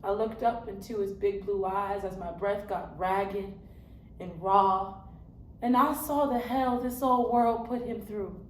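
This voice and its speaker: A woman acting a dramatic stage monologue as a weary, confessional angel who was once a poor woman, speaking slowly and with deep feeling. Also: close to an everyday voice. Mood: sorrowful confession. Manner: slow, heartfelt, deliberate delivery. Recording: roomy echo, faint background noise.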